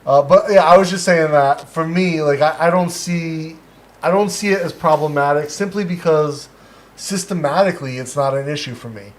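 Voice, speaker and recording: A man with an American accent hosting a casual livestream, explaining his views in a reflective, measured way. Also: fairly high pitch. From United States. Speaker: livestream host.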